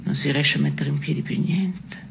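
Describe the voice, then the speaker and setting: An actress playing a disillusioned, caustic woman in an absurdist radio play, speaking in a terse, deadpan, sardonic manner. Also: low voice, normal speed, creaky, slight background noise. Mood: weary, disillusioned. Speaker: stage actress. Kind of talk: theatrical dialogue in a radio play.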